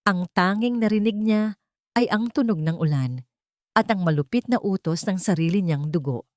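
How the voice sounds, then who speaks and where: A woman narrating a dramatic story with steady scripted delivery. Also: mid-range voice, normal speed, no room sound, clean studio sound.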